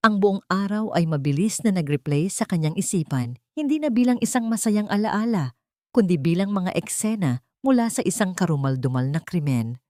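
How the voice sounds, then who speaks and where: A woman narrating a melodramatic audio story in a steady, dramatic storytelling manner. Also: fairly low pitch, normal speed, no echo, clean studio sound.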